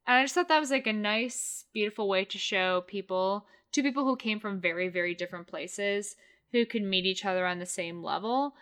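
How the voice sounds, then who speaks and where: A woman's voice: mid-range voice, brisk, fairly bright tone, smooth. A woman co-hosting a podcast, gushing about a TV episode in casual chat, with lots of restarts. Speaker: podcast co-host.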